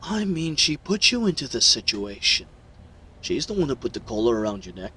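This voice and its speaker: A man's voice: medium pitch, sing-song, normal speed, bright, forceful tone, a little hiss or hum. A man voicing a smug, mocking villain with theatrical, condescending delivery in an audio roleplay. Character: smug, mocking male villain crime broker.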